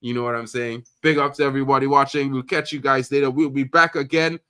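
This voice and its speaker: A man from Trinidad hosts a casual live football stream, talking loudly and excitedly with repeated catchphrases. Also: medium pitch, quick pace, no room sound, studio quality. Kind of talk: casual live sports chat.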